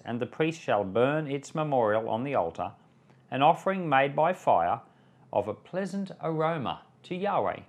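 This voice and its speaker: Expressive Australian man, Bible teacher. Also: medium pitch. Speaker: pastor and Bible podcast host. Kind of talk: scripture reading with devotional commentary.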